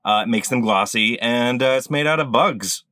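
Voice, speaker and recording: A middle-aged man improvising casual comic definitions as a panelist, hesitant and deadpan. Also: mid-range voice, moderate pace, no room sound. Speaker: comedy game panelist. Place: room at home, video call.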